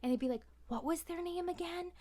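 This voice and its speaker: A woman's voice: extremely high pitch, a little fast, warm tone. A woman chatting casually as a podcast guest, telling anecdotes in a chatty, storytelling way.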